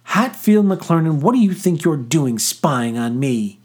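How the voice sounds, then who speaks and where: A storybook teenage girl, acted out by a male narrator, high and very animated. She is indignant and scornful, with a teasing edge and sharp, snapping comebacks. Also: moderate pace, breathy edge, heavily acted. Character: fiery, indignant fifteen-year-old girl, scornful and teasing.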